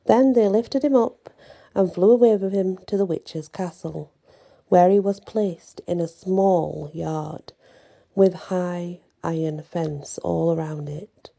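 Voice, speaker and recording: A woman telling a bedtime story in an ASMR style, with deliberate, dramatic phrasing. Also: lowish voice, creaky, dark tone.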